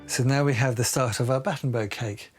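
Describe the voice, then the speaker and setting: A man's voice: medium pitch, steady tone, fairly quick. A man explaining his craft work aloud, unscripted, with dry, self-deprecating humour. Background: music.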